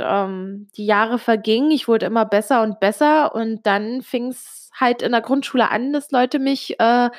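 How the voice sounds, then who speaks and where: A young woman from Switzerland, Italian her first language, telling a personal story straight to the listener in a confiding, casual way with many small fillers. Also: fairly high pitch. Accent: Italian-Swiss German speaker with little Swiss accent. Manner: confiding, addresses the listener directly, frequent fillers. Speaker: vlogger.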